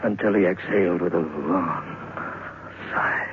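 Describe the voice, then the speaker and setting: A man's voice: low voice, a little hiss or hum. Tinny vintage radio horror narrator, male. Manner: suspenseful, deliberate pauses, theatrical. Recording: tinny vintage radio recording, music behind.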